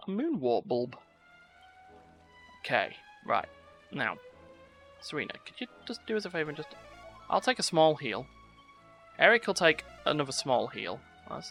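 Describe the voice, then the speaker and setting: A man's voice: on the high side, big pitch swings, normal speed, vocal fry, long pauses, fairly bright tone. The man you'd find on a late stream, muttering tactics and blurting playful sound words over game music. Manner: playful exclamations and muttered asides. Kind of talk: live gameplay commentary. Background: video game music.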